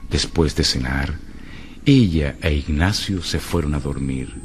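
Very deep mystery narrator. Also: male voice, measured pace. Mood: grave, suspenseful. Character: grave storyteller of a mystery tale. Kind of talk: radio drama narration of a horror mystery tale. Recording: music behind the voice.

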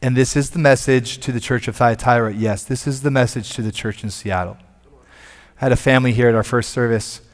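A man in his forties, a pastor preaching a sermon from a church stage on a headset mic, animated and conversational, with frequent restarts. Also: medium pitch, brisk. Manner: animated, moving about the stage and gesturing.

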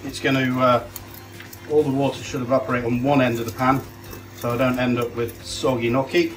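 A man's voice: mid-range voice, flat delivery, fairly quick. A middle-aged man, a chef, giving casual, plain-spoken step-by-step cooking instructions while busy at the stove in a home kitchen. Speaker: chef demonstrating a recipe. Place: small home kitchen, indoors. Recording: loud sizzling pan and kitchen noise. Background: frying, sizzling, stirring.

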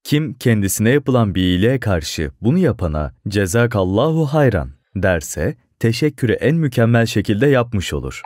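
A man's voice: fairly low pitch, big pitch swings, normal speed, few pauses, darkish tone, dry recording, clean studio sound. A man narrating a scripted moral lesson for children.

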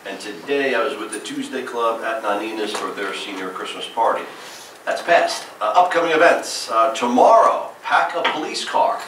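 Mayor with a New Jersey accent reporting at a council meeting, bright forceful voice, heard from across an echoey chamber. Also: male voice, fairly low pitch, expressive, normal speed, distant mic, a little hiss or hum. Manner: upbeat, listing events with frequent "uh" fillers. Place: large council chamber, indoors.

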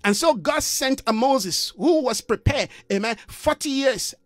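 Very high-voiced middle-aged male preacher. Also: lively intonation, unhurried, a bit bright, no echo, faint music behind. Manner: emphatic, repeats words and tucks in "amen" and "you know". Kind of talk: online sermon broadcast. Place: indoor study with bookshelves. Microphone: studio mic on a boom arm, close.

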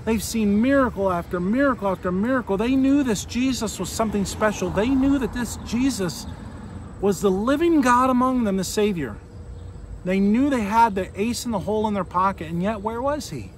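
Male American pastor, forties, high voice, conversational sermon delivery with pauses, recorded outside over noticeable ambient noise. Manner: earnest, conversational, with pauses and rhetorical questions. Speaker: Lutheran pastor. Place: outdoors beside a fallen tree near a street. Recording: outdoor noise, insects and vehicles.

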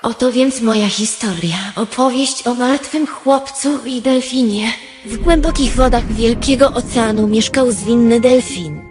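Fairytale audio drama: dreamy, friendly maiden storyteller actress, higher register, echoey, soft music bed. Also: female voice, unhurried, lightly acted. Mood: welcoming, dreamy. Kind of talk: storybook radio play acting. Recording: echoey hall reverb, music behind.

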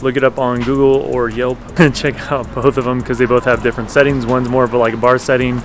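A man's voice: mid-range voice, fast talker, soft background music. Casual man vlogging on a city walk. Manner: relaxed, with fillers and short laughs. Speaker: travel vlogger. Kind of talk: casual food and travel vlog. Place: outdoors in the city, in wind and rain.

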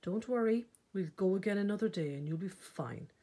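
A gentle Irish dad from a picture book , read by a woman, encourages his small son. The voice is breathy with lively swings in pitch. He sounds soft-hearted and reassuring. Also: normal speed.

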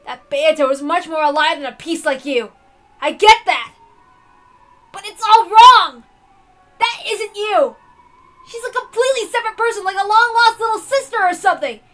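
A woman's voice: very high voice, normal speed, fairly bright tone, soft background music, voice pushed up. A woman voicing an anguished, brooding young male game master in a dramatic visual novel reading, earnest and bitter. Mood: anguished, angry.

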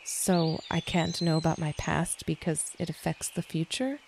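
An insistent, frustrated female android , voiced by a woman, makes terse demands in an audio drama. Her voice is somewhat low and noticeably creaky, with faint noise in the background. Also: steady tone, normal speed, darkish tone, poor audio.

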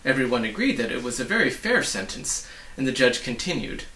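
A man's voice: medium pitch, sing-song, brisk, pushed and bright. A man in his forties narrating a storybook aloud, recorded in a small room. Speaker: storybook reader. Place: small book-lined room indoors. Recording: roomy, distant microphone.

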